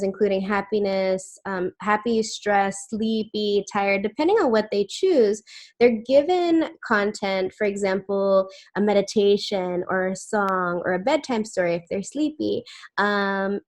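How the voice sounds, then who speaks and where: A woman's voice: medium pitch, a little mellow. A woman answering questions in a webinar over a video call, chatty, with many fillers and restarts. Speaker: webinar speaker.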